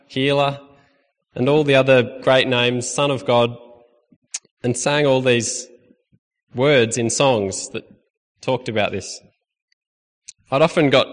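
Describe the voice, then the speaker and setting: Young Australian man preaching in a hall. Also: mid-range voice. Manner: friendly, conversational preaching with light humor. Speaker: lay preacher. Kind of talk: sermon. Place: church hall.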